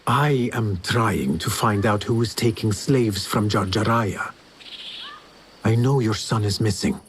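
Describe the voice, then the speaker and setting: An actor playing an earnest, principled young agent of a secret order in a cinematic video game. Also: male voice, fairly low pitch, a little slow, slight background noise, lightly acted. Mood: gentle.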